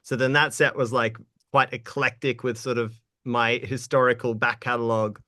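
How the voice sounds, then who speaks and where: A man's voice: medium pitch, normal speed, no room sound, clean studio sound. A young man, a musician, casually rambling through stories in an interview with lots of filler words. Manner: relaxed, rambling, lots of likes. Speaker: guest musician.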